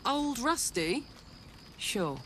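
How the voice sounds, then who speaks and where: A woman's voice: a little high, very animated, very slow, a bit bright, breathy edge, heavily acted. An actress voicing a blunt, plain-spoken soldier woman with a mechanical heart, speaking with rueful candor. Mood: tender. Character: gruff soldier woman with an infernal engine heart.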